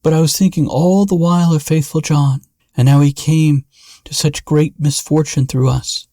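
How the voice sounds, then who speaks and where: A man voicing a sorrowful, devout queen in a fairy tale, with a gentle, regretful manner. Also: fairly high pitch, fairly even intonation, moderate pace, no echo, clean studio sound, pitched above the performer's own voice, close to an everyday voice.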